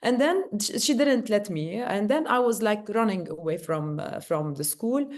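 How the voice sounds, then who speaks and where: A woman's voice: mid-range voice, moderate pace. Woman telling stories animatedly. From Lebanon. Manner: animated storytelling with frequent fillers and restarts. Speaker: guest. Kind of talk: casual interview talk.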